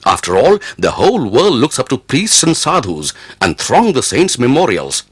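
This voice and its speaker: A man acting a proud, easily offended Mughal emperor in a pompous, commanding manner for an animated moral tale. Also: medium pitch, moderate pace, husky. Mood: incredulous.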